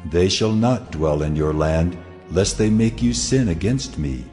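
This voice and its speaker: A very low, solemn male voice of God in a dramatized audio Bible. He pronounces law with measured authority and command, with music running underneath. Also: animated, dark tone. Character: God of Israel, solemn commanding lawgiving deity.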